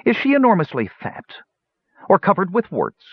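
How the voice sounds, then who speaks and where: A man's voice: sits high, huge pitch swings, normal speed, slightly rough, no room sound, highs cut off, pitched above the performer's own voice, full character voice. Man as menacing dwarf sorcerer, cold clipped threats, dry close sound. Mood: scornful. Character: cruel hunchbacked dwarf sorcerer, male, vengeful, menacing.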